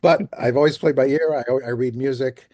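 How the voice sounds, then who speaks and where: A man's voice: medium pitch, fairly even intonation, normal speed, a little mellow, no echo, studio quality. An older man answering interview questions as a composer, telling stories in a chatty way. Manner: chatty storytelling with light laughs. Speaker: guest, composer and lyricist.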